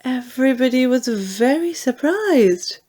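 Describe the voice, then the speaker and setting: A woman narrating a children's storybook in an expressive, deliberate way. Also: sits high. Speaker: audiobook narrator.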